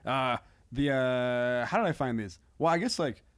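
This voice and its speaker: A man's voice: mid-range voice, animated, fairly bright tone, smooth. A man speaking as a comedian guest in casual podcast chat, often restarting and trailing through half-finished phrases. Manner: halting, filler-heavy, self-interrupting.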